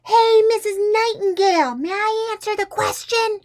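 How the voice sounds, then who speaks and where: Storybook little girl, played by a woman: high, hollering, exuberant and very loud. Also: normal speed. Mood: loud, eager. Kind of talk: read-aloud children's storybook character.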